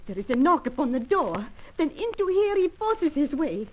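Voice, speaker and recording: Muffled, high-voiced dramatic senorita. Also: female voice, very animated, moderate pace. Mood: shaken. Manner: dramatic, stilted foreign English phrasing. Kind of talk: vintage radio drama acting. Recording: muffled old radio recording, faint noise.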